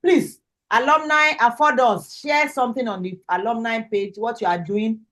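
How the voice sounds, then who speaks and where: A woman's voice: fairly high pitch, steady tone, normal speed, fairly bright tone. A woman with a Nigerian accent informally hosting an online event as its instructor on a video call. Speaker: host and lead instructor of an online graduation. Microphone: video call microphone.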